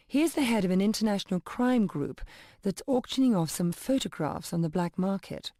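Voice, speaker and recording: An actress playing a polished intelligence handler in a video game, giving formal, measured, businesslike briefings. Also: female voice, fairly low pitch, mellow, no echo, clean studio sound. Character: composed, polished female intelligence agency handler.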